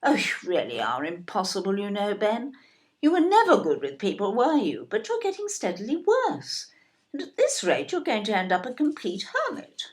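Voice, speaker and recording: A woman's voice: medium pitch, wildly swooping pitch, moderate pace. A woman with a British accent playing a snobbish, self-absorbed older wife and mother in an audio play, genteel, fussy and disparaging. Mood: disdainful.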